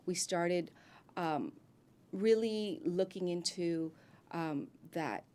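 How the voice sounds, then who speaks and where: A woman who grew up in Miami, an actress guest chatting in an interview, warm and effusive, with frequent ums and restarts. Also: on the low side, drawn-out words. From grew up in Miami, United States. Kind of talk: casual interview chat.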